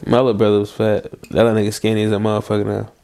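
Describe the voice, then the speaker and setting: A man's voice: lowish voice, monotone, rapid-fire, vocal fry, a crowd in the background. A man co-hosting a podcast, teasing his friends in casual chat, his nose stuffed up. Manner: playful teasing, switches voices for jokes. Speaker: podcast co-host.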